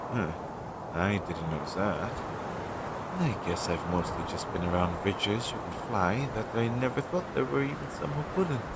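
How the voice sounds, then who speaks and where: A young man playing an anxious, earnest and loyal crow familiar in a roleplay audio drama, hesitant and chatty as he thinks aloud, on a low-quality amateur recording. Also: background noise. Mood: curious, surprised. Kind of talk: roleplay audio drama, intimate one-sided dialogue.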